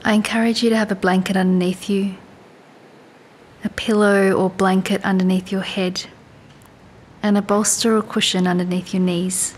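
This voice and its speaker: A woman leads a guided body scan meditation in a calm, soothing, unhurried way, leaving long pauses. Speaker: meditation and yoga teacher. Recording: faint low rumbling background noise.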